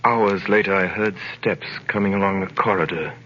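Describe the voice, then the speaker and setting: Deep-voiced, dramatic young sailor, old radio. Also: male voice, moderate pace, pushed and bright. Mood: grave, wistful. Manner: grave, dramatic, theatrical delivery. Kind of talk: 1930s radio drama acting and first-person narration. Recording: noisy, muffled 1938 radio broadcast.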